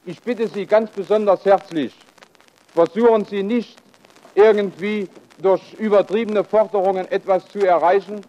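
Male actor playing formal chairman, high pitch, dark mellow timbre, earnest admonishing speechmaking, radio play. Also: steady tone, moderate pace, muffled recording, close to an everyday voice. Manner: stiff, official, earnest. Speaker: committee chairman.

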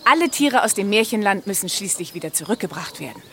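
An actress playing a brisk, cheerful investigator who addresses young listeners in a children's radio play. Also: female voice, medium pitch, sing-song, crowd noise. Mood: decisive.

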